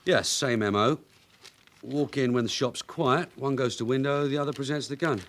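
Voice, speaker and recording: An actor playing a shrewd veteran detective sergeant with an English accent, brisk and wry, in a radio drama. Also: male voice, medium pitch, close to an everyday voice. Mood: businesslike. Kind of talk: British radio drama acting.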